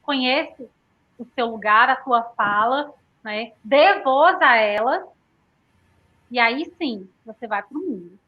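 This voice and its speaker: A woman's voice: on the high side, lively intonation, slow pace. A Brazilian woman in her thirties speaking reflectively in a conversational panel discussion, often punctuating her points with tag questions. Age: 30s. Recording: dull lo-fi audio.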